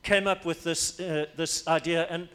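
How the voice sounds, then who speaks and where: A man's voice: a little high, fairly even intonation, a little slow, fairly bright tone, smooth. A man from Africa, a pastor preaching a sermon, emphatic and repeating his key phrases for weight.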